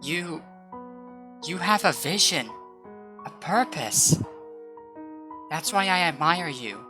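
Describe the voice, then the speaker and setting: A woman's voice: sits low, animated, slow pace, bright, forceful tone, faint music behind, exaggerated voice. A woman voicing a blunt, loyal female comrade in a dramatic roleplay, firm and earnest. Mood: weary, earnest. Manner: firm, scolding yet earnest. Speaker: voice actor.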